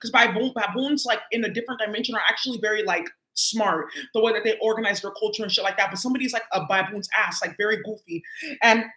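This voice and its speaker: Casting a woman tarot reader, quick and sharp-toned, slangy and profane, recorded in an echoey room. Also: mid-range voice. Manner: loose, profane, heavy with filler words. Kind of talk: casual tarot reading to viewers.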